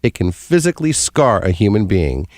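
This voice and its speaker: A man's voice: on the low side, very animated, moderate pace, a little mellow. A man co-hosting a show, reading scripted narration with comic banter. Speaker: co-host.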